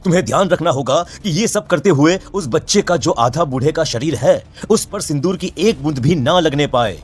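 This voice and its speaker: A man's voice: fairly high pitch, expressive, normal speed, breathy edge. A man playing a grave old village priest in a horror cartoon, solemn and emphatic as he gives warnings. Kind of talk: Hindi horror cartoon voice acting.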